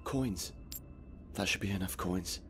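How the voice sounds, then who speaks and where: An actor playing an anxious, frustrated man trapped in a dark place, muttering and swearing to himself in a video game. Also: sits low, unhurried, slight background noise. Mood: focused, wary. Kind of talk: video game voice acting, inner monologue.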